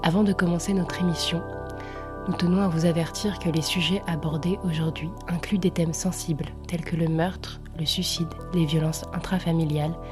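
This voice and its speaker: A woman with a fairly low voice co-hosts a community radio show. She reads a formal announcement and a content warning with care. Music runs clearly beneath her voice. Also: fairly even intonation, moderate pace. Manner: careful, formal reading. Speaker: radio co-host. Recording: music bed under the voice.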